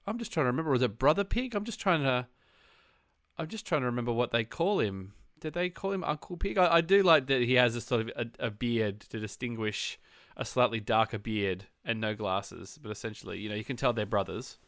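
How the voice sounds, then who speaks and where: An Australian man hosts a laid-back podcast, his voice sitting in an ordinary middle range. He chats freely, laughs easily and thinks out loud. The sound is dry and close, with no room around it. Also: mid-range voice, brisk, no room sound. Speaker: podcast host. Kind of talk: casual podcast chat.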